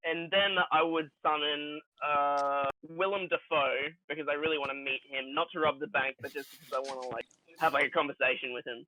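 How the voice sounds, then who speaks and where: A man with a fairly high, bright voice banters casually as a podcast co-host. He rambles haltingly through absurd jokes. The recording is dry and close. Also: steady tone, no room sound, low-quality recording.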